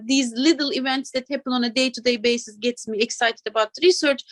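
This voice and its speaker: High-voiced woman from turkey chatting as a guest, keeps saying "right?", dry close sound. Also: no echo. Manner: chatty, adds "right?" and soft laughs. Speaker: assistant professor, guest. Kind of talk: casual interview talk.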